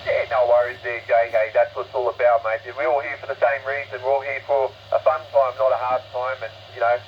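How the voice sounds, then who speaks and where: A man's voice: medium pitch, flat delivery, fairly quick. An Australian man heard over shortwave radio, chatting in an easygoing, rambling, matey way as an amateur operator. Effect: received over shortwave radio. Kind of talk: casual ham radio chat. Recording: dull, noisy shortwave radio reception.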